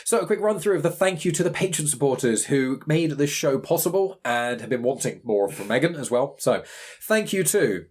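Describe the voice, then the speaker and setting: A man's voice: a little high, lively intonation, normal speed, darkish tone, slightly rough. A British man hosting a podcast, chatting casually in a rambling, conversational way. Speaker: podcast host.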